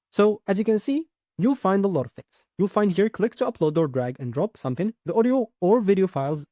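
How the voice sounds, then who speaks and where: A man presenting an unscripted step-by-step software tutorial, with slightly halting non-native phrasing and repeated filler phrases. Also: fairly high pitch, no echo, studio quality.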